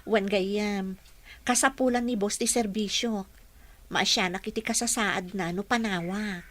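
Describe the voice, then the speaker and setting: A woman with an Ilocano accent playing a patient, affectionate working mother in a radio drama, polite and gently instructing. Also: mid-range voice, steady tone, measured pace. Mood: earnest, grateful.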